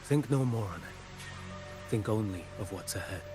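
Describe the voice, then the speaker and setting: Video game role: stern, solemn blind envoy, lowish register, unhurried phrasing, over clear background music. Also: male voice, expressive. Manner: solemn, measured, deliberate delivery. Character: grave blind male envoy tracking the dark tide.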